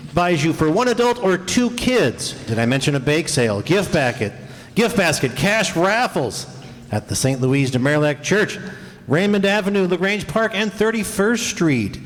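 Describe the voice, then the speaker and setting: A man giving an informal live promotional announcement in a folksy, jokey, loose way. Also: high voice. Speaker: event announcer. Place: live in a large hall. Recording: echoey hall, background noise.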